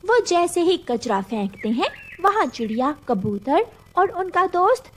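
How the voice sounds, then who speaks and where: A woman's voice: very high voice, sing-song, unhurried, slight background noise. A woman narrating a scripted children's story as its storyteller.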